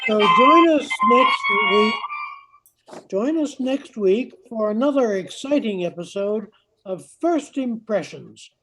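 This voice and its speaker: A woman serves as narrator for a radio play. She speaks very slowly in a fairly high voice, with a measured announcer's delivery. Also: expressive, crowd noise, low-quality recording.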